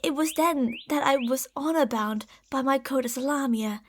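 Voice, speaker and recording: Female performer as obsessive serpent woman for ASMR roleplay; high voice, soft formal delivery, soothing with a deceitful, possessive edge. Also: moderate pace, a little hiss or hum, natural voice. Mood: devoted, pleading. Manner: soft, formal, soothing speech with breathy whispers.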